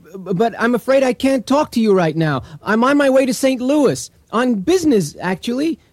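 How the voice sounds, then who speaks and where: An actor playing a flustered young businessman husband in a radio drama, hurried and stammering. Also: extremely high pitch, lively intonation, no echo. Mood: apologetic, rushed.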